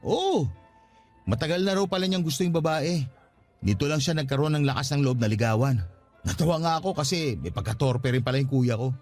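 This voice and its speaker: A man's voice: a little high, lively intonation, brisk, darkish tone. An earnest, anxious young father. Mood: puzzled. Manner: earnest, conversational, questioning. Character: earnest, anxious young father proposing to his estranged partner. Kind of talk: Tagalog radio drama acting. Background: music bed.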